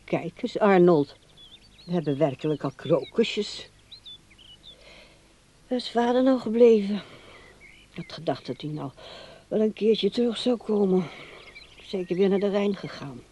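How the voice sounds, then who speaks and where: A woman's voice: mid-range voice, animated, unhurried, pauses often, warm tone, slightly rough. An actress in a radio play as a homely, fussing woman who chats and placates as she looks after her household. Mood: chatty, fussing.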